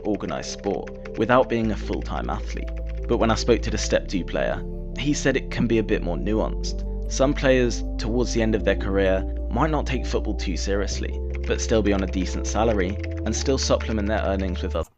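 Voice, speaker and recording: Low-voiced man narrating a football explainer. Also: expressive. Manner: measured, explanatory. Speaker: documentary narrator. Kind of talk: scripted narration. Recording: dull clip audio under music.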